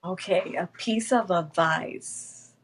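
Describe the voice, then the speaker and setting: A woman's voice: fairly low pitch, takes it slowly, slightly rough. A woman whose first language is Spanish, with a Spanish accent, talking casually as an interview guest, laughing often and restarting phrases as she searches for English words.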